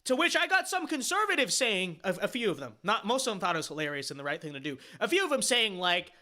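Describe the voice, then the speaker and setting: A man's voice: sits high, sing-song, quick pace, sharp tone. A man streaming a reaction to his own panel debate, rambling and opinionated, with lots of fillers and restarts. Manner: emphatic and dismissive. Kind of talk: livestream reaction commentary.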